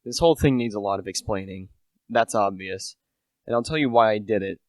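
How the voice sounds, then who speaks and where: A man's voice: fairly low pitch, fairly quick, no room sound, lightly acted, rough recording. A teenage student actor playing a humble small-time salesman, hesitant and confiding, in an amateur radio play. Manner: hesitant, self-effacing, confiding. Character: humble, deferential small-time relish salesman narrating his tale. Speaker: high school drama student.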